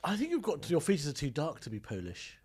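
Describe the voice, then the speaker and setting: A man's voice: on the high side, huge pitch swings, fast talker, darkish tone, breathy edge. A man chatting casually as a comedian guest on a podcast, with dry, teasing banter.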